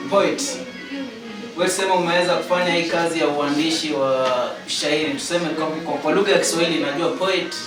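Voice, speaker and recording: A man's voice: a little high, fairly even intonation, fairly quick. A Kenyan man with a Kenyan accent, hosting a casual interview in a chatty, teasing way that repeats phrases. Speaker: host. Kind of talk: casual interview mixing Swahili and English. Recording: echoey room from far off with music behind.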